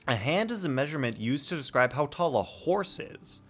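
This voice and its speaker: A man's voice: a little high, sing-song, normal speed, faint music behind. A young man streaming a game, chatting casually and playfully with viewers and joking as he reacts. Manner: playful, jokey, reads chat aloud.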